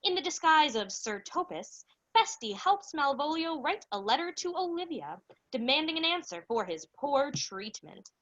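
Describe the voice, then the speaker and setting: A young woman playing a chirpy 1940s-style radio announcer, pitching ads and recapping the story, on slightly dull audio. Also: sing-song, moderate pace, a bit bright. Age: young adult. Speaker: narrator and announcer.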